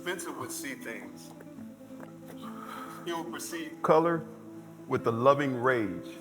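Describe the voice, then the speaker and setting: Slow, echoing stage storyteller played by a man. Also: medium pitch, expressive. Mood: reverent, fond. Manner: storytelling, deliberate with long pauses. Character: admiring man fondly recounting a troubled painter's life. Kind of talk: theatrical stage monologue. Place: indoor stage performance space. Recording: echoey stage hall, music behind. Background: plucked guitar music.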